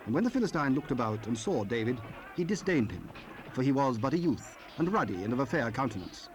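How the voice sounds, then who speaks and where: A man narrating scripture in a formal, solemn and measured way. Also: medium pitch, very animated. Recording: faintly noisy cassette rip.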